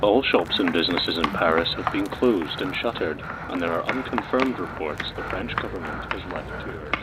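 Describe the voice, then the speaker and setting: An actor playing a formal 1940s radio newsreader in an audio drama, clipped, through an old radio effect. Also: male voice, deep voice, expressive, moderate pace. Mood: grave, formal. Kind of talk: 1940s radio news bulletin. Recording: noisy old wartime radio broadcast.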